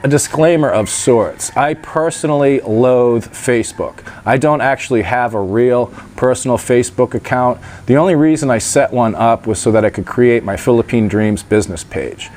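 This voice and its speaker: A man's voice: medium pitch, a little mellow. A man talks straight to the camera outdoors, his voice a little nasal and stuffed up. He rambles in a chatty way, dropping wry asides, with a faint hiss of noise behind him. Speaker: vlogger. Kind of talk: casual vlog talk to camera. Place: outdoors in sunshine by a gate.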